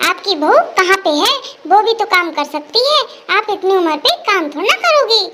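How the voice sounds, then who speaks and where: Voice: very high-pitched, expressive, normal speed, very noisy. A performer voicing an indignant, dutiful grown son who scolds in defence of his mother in a cartoon family melodrama. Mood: concerned, indignant. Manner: scolding, accusatory, protective of mother.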